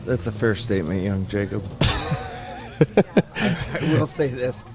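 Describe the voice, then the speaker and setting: A man's voice: on the low side, very animated, slow, faint music behind. A man in his late thirties, a podcast guest, teasing the hosts in rambling casual banter outdoors. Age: 30s. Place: outdoors by a racetrack with a crowd. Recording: loud crowd noise outdoors.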